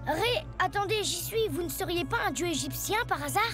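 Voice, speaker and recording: A woman's voice: very high-pitched, expressive, brisk. A woman playing a good-natured, plucky nine-year-old boy in a cartoon, boyish, colloquial and exclamatory. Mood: surprised, easygoing. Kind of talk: French cartoon dubbing. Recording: loud music behind the voice.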